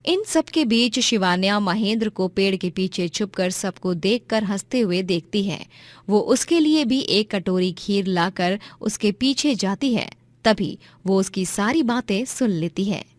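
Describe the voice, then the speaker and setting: A woman's voice: mid-range voice, animated, measured pace, no echo. A woman narrating a scripted moral story as a storyteller.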